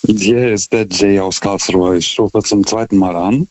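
A forty-year-old man calling a radio phone-in, speaking non-native German with an accent, haltingly, stammering over the starts of words. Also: fairly low pitch, fairly even intonation, darkish tone, no room sound. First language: not German. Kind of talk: unscripted, reflective call-in talk. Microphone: telephone. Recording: dull, band-limited phone call.

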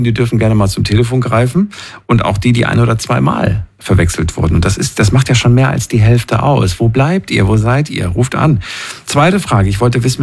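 Guy hosting radio call-in, gravelly husky voice, super informal chatty. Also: mid-range voice. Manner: informal, chatty, addresses listeners directly. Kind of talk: casual chat, reading listener messages aloud.

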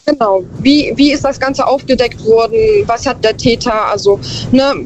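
A woman's voice: high-pitched, sing-song, moderate pace, a little mellow. A woman calling into a radio show, talking reflectively and hesitantly, often restarting her sentences. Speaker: caller. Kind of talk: phone-in call. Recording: muffled phone line with noise.

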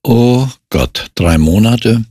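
A man's voice: mid-range voice, lively intonation, takes it slowly, mellow, breathy edge, no echo, studio quality. A man hosting a casual interview in a chatty way, reacting with surprise and short exclamations. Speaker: radio interview host.

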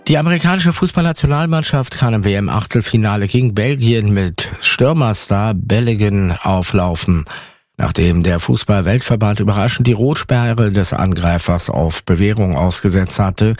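Low-voiced male newsreader, dry studio. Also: no echo. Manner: measured, even. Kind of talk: formal news reading. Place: radio studio.

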